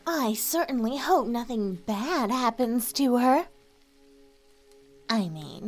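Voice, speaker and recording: A woman playing a sweet, clingy, possessive young neighbor in love, coyly addressing the listener in an ASMR roleplay. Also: fairly high pitch. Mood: coy, insinuating. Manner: coy, sugary, insinuating, hesitant.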